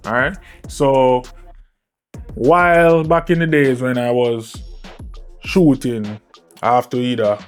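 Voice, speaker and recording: Guy doing a laid out casual product review, regular mid pitch, keeps asking right?, music behind him. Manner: conversational, often checks in with "right?" and "all right?".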